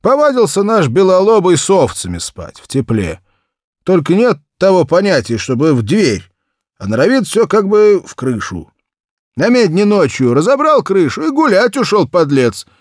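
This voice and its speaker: An old peasant watchman from a children's storybook. The voice jumps high and loud, swinging freely in pitch. He is simple and folksy, always grumbling and exclaiming. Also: huge pitch swings, moderate pace, no room sound, studio quality, exaggerated voice. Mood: grumbling, exasperated.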